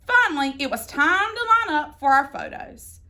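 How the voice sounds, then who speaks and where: A woman reading a children's picture book aloud in an expressive, theatrical way, drawing out words for emphasis. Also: sits high. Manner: theatrical, deliberate phrasing with drawn-out emphasis. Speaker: storybook reader.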